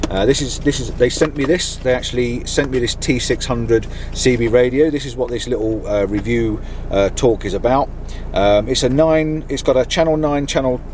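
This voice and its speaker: Middle-aged British male vlogger, enthusiastic and informal, medium pitch, casual review delivery recorded inside a campervan with light background noise. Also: darkish tone. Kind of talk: casual product review to camera. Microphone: camera on a mount in the van.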